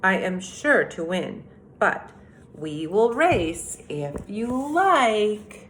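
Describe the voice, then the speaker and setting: A woman speaking as an elementary school teacher, giving a lesson and reading aloud to young children in a deliberate, instructive way. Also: medium pitch, big pitch swings, slight background noise.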